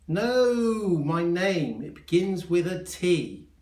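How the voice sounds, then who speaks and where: A man's voice: high voice, sing-song, slow, a little mellow, reverberant, voice pushed up, exaggerated voice. A man voicing a young, plain-spoken, slightly weary schoolboy patient in a children's story, giving short, simple answers. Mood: plain, insistent. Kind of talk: children's storybook character reading.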